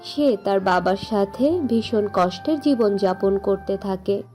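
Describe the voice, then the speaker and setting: A woman's voice: medium pitch, lively intonation, measured pace, warm tone, faint music behind. A woman narrator reading a scripted fairy tale for a cartoon.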